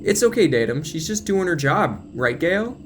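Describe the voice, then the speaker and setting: A man's voice: fairly high pitch, big pitch swings, normal speed, slight background noise, pitched above the performer's own voice. A man voicing a shaken but steely teenage girl in an audio drama, guarded and pointed.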